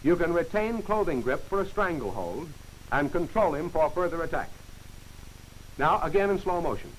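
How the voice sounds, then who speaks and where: Man, high voice, crisp clipped instructional narration, old film soundtrack, faint hiss. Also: animated, normal speed, rough recording.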